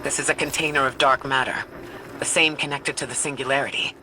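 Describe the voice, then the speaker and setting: A man plays a scholarly video game explorer with a middle-pitched voice. He is composed, analytical and exact, with faint sound behind him. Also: steady tone, slight background noise, close to an everyday voice. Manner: measured, precise, matter-of-fact.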